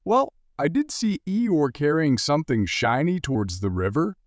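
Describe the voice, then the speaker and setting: A friendly, bouncing tiger from a children's story, played by a woman. The pitch leaps around freely and the sound is dry. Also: very animated, normal speed, no room sound.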